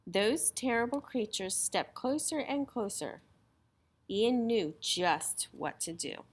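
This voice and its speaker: A woman's voice: mid-range voice, big pitch swings, slow, slight background noise. A woman storyteller reading a children's story aloud in a dramatic style.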